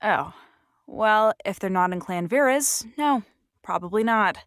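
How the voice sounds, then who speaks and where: A frank, playful servant in an audio drama. She talks casually and bluntly in a mid-pitched voice, recorded close and dry. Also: lively intonation, measured pace, fairly bright tone, dry recording. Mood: frank, sassy. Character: frank, sassy, contented human female attendant.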